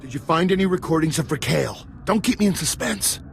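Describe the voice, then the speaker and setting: An actor playing a dogged, excitable streetwise reporter in a video game, slangy and expressive. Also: male voice, on the high side, a little fast, gravelly, slight background noise. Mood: eager.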